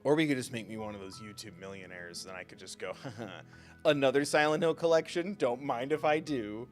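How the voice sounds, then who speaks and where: A man's voice: mid-range voice, brisk, soft background music. A man live-streaming a game, chatting in a jokey, playful and rambling way. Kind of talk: casual live-stream commentary.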